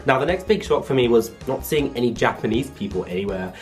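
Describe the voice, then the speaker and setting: A man's voice: medium pitch, lively intonation, fast talker, faint music behind. A young man from England talking casually to camera in a vlog, chatty and full of "like" fillers. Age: young adult. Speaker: vlogger.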